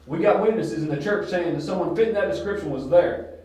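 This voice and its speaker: A man's voice: high-pitched, a little fast, a little mellow, close to an everyday voice. A man acting a matter-of-fact police investigator in an amateur audio drama. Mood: businesslike. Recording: very echoey space, distant mic.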